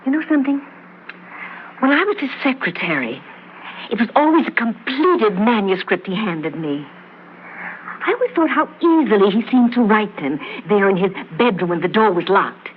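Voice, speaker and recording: An actress playing an anxious, hesitant former secretary in an old radio drama. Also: female voice, mid-range voice, big pitch swings, normal speed, close to an everyday voice. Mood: doubtful. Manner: hesitant, worried. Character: anxious female former secretary, doubtful, guilty. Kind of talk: 1960s radio drama acting. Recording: tinny old radio broadcast, faint hiss.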